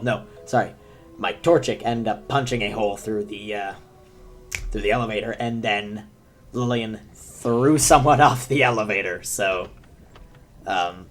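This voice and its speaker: A young man who is sick, talking in a rambling, self-deprecating way with many fillers as he comments on a video game. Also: lowish voice. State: sick, deep voice. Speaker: gaming commentator. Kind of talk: let's play commentary.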